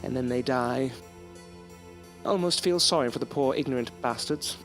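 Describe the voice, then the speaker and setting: A man's voice: medium pitch, normal speed, fairly bright tone, soft background music, close to an everyday voice. An actor playing a proud, loyal male scientist in an audio drama, speaking in a formal, articulate, theatrical way. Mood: bitter, brooding. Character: proud, defiant, loyal male scientist, courteous but bitter. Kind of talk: period audio drama acting.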